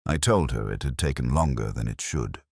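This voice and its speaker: Very deep-voiced brooding detective played by a man. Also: vocal fry, no echo, clean studio sound, exaggerated voice. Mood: somber, reflective. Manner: literary, measured, solemn. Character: brooding, weary male English police inspector, literary and melancholy. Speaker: narrator of a detective audio drama. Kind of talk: scripted first-person mystery narration.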